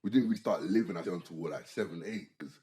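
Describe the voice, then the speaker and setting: A man, co-hosting a casual podcast chat, animated, often saying "bro" and "like" and restarting his sentences. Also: low-pitched, fast. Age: 20s. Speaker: podcast co-host.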